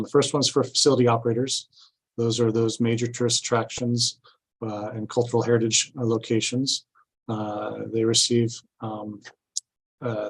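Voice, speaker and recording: A man giving an informational presentation at a public meeting, explaining things step by step in a halting way. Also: medium pitch, low-quality recording. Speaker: city staff presenter at a grant orientation.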